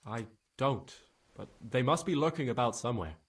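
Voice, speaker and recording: Husky, nervous young stable lad. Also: male voice, medium pitch, lively intonation. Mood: pleading. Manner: nervous, stammering, halting. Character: frightened, guilt-ridden young stable lad. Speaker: video game character, witness being questioned. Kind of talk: dramatic game cutscene acting.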